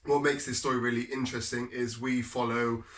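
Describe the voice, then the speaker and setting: A man's voice: medium pitch, little pitch movement, a little fast, fairly bright tone, recorded from a distance. A man from the UK reviewing books in a casual vlog, nasal and congested from a cold, chatty and repeating words with frequent ums. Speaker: book reviewer.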